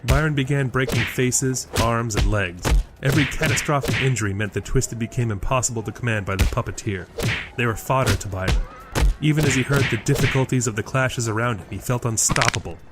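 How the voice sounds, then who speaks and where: A man's voice: mid-range voice, a little fast, loud music behind the voice. A man reading scripted narration for a fantasy audio drama.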